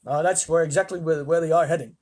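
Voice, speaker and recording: Storybook role: man reading as cheeky, slangy astronaut. Husky, animated, blunt. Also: high voice, a little fast, pitched above the performer's own voice. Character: excitable, cheeky male astronaut Shanks reporting by radio.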